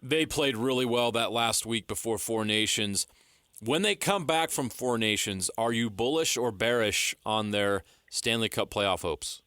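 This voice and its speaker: American man, sports co-host, sharp bright tone, medium pitch, dry studio, casual talk. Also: measured pace, clean tone, dry recording. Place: radio studio.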